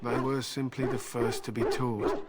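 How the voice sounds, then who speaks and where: An actor playing a proud, gruff fantasy warrior in a video game, speaking formally and solemnly. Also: male voice, mid-range voice, little pitch movement, a little slow, close to an everyday voice. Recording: loud noise behind voice.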